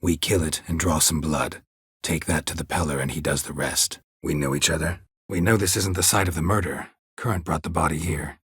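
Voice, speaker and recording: A male actor playing a stoic, world-weary monster hunter in a video game, terse and deadpan, with a low gravelly rasp. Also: a little fast, no room sound, studio quality, heavily acted. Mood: deadpan, businesslike. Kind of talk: video game character dialogue.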